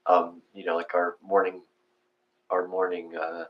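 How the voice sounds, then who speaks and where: A man hosting in casual, rambling chat, often restarting and restating his thoughts in a halting way. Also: sits low, monotone. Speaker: host of live guided meditation sessions. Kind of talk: casual chat with viewers and co-host. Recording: dull, muffled home livestream audio.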